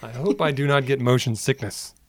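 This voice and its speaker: A man's voice: medium pitch, a little fast, no room sound. A man podcast co-host reading the closing thanks and a playful sign-off. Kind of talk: scripted podcast outro.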